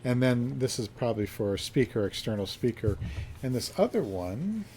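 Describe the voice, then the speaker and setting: A man's voice: mid-range voice, sing-song, moderate pace, warm tone. A man giving a casual hands-on demonstration, musing and thinking aloud as he goes. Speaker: reseller reviewing a vintage find. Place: indoors, in a basement. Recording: faint background noise.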